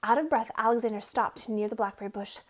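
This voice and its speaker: Woman, high-pitched voice, children's read-aloud, expressive storybook narration. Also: fast talker. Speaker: storybook reader.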